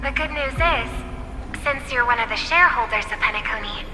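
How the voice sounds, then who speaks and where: An actress playing a gentle, earnest young woman in a video game, soft-spoken, sincere and formal. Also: a little high, a little fast, lightly acted. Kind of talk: game cutscene voice acting. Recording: reverb with game music behind.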